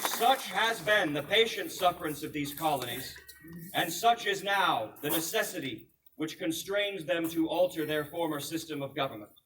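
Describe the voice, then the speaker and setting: A man's voice: fairly high pitch, moderate pace, distant mic, noisy background, lightly acted. A man playing a solemn, resolute colonial American statesman, reading a proclamation aloud as formal, stately public oratory with emphatic projection. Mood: indignant, resolute. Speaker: historical reenactor.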